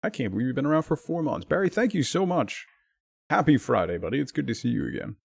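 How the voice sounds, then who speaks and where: A man streaming live, chatting casually with his viewers and thanking them by name, his talk full of lively swings up and down. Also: medium pitch, fast talker, gravelly, slightly rough, dry recording.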